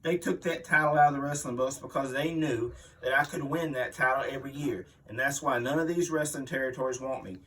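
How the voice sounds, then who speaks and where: A man's voice: mid-range voice, a little fast, few pauses, a bit bright, distant mic, a little hiss or hum, lightly acted, low-quality recording. A man playing a boastful, mean veteran wrestling villain, blustering and aggrieved as if giving an interview. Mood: menacing, boastful. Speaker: reader voicing an interviewed wrestler. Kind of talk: dramatic magazine interview reading, wrestling promo.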